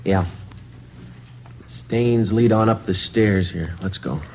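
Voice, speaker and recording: An actor playing a calm, terse male police detective with a clipped, matter-of-fact delivery in a 1950s radio drama. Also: on the low side, slow. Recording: dull hissy 1950s radio recording.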